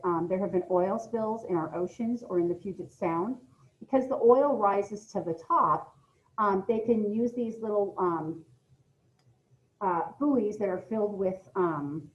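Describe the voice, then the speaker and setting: A woman's voice: mid-range voice, dull audio. A woman with an American accent reading a lesson aloud as a science teacher, explaining things directly to her students with informal asides. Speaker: middle school science teacher.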